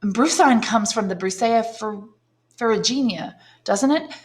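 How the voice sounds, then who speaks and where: A woman's voice: a little high, animated, unhurried, voice pushed up. A woman voicing a curious, nervous aristocratic mother who asks eager questions in a raised voice. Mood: eager, probing. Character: curious, flattering aristocratic lady, mother, high-strung.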